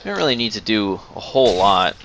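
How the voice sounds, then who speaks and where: A man's voice: lowish voice, big pitch swings, a little fast, fairly bright tone, slight background noise. A young man giving casual, sarcastic gameplay commentary, with some swearing. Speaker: let's play host.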